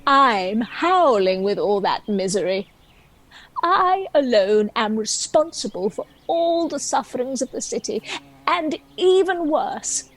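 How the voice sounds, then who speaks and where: A woman's voice: fairly high pitch, rough recording. A woman playing a chatty, self-pitying dragon who tells its own story in a wry, theatrical comic stage monologue. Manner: wry, theatrical, measured storytelling with arch asides.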